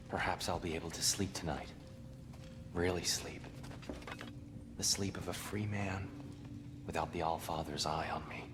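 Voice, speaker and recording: An actor playing a broken, humble giant god in a video game, speaking gently and haltingly as a weary former captive. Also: male voice, low-pitched, steady tone, in a large hall, background noise. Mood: exhausted. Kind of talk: dramatic video game acting.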